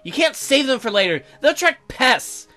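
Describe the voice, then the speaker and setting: A performer plays a young colonist wife in a visual novel, bossy and easily annoyed. Her scolding is bright, forceful and sarcastic, snapping out in lively swoops over faint music. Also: sits high, moderate pace, voice pushed up, full character voice. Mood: bossy, irritated.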